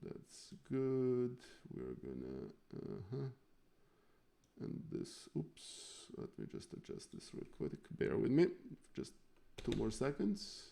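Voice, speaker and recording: A man from Serbia, casually chatting on a live stream while going over chess games, halting with many fillers and thanking viewers. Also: medium pitch, huge pitch swings, gravelly, warm tone.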